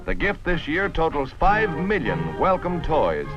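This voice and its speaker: A man's voice: a little high, wildly swooping pitch, measured pace, highs cut off, music in the background. An American man narrating a 1950s newsreel in a polished, dramatic, declamatory style on a vintage soundtrack. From United States.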